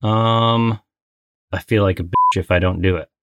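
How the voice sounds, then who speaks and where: A man's voice: deep voice, steady tone, unhurried, heavy background noise. A man co-hosting a casual trivia game, talking in a playful, exclamatory way. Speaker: co-host of a trivia game podcast.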